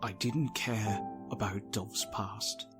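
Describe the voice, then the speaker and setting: A man's voice: on the low side, fairly even intonation, slow pace, over music. An actor playing a sincere, devoted father pony in an emotional audio drama, speaking earnestly and tenderly. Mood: tender, resolute. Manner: earnest, heartfelt.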